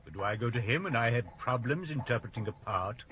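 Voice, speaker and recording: An actor playing a grandiose, self-pitying Victorian stage star in a period radio drama, with a British accent and a theatrical, world-weary delivery. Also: male voice, medium pitch, expressive, brisk, bright, forceful tone, slight background noise. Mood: irritable.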